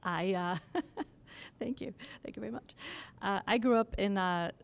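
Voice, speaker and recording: Gravelly-voiced woman telling her story. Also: medium pitch. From Poland. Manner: laughing, halting, candid. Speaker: panel speaker. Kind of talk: personal story at a talk. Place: office event space with audience.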